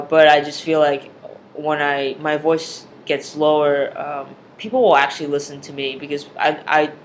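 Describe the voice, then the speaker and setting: This is a young man whose speaking voice sits somewhat high for a man. He talks earnestly, often pausing on fillers and restarting his sentences. A faint hiss of noise runs underneath his voice. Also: fairly bright tone, rough recording. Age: young adult. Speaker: vlogger. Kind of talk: personal video diary.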